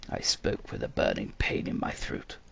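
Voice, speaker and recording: A man voicing a very old, embittered fantasy survivor in a storybook, raspy, strained and slow, with a grave, labored manner. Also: a bit bright, lightly acted. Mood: grim, pained.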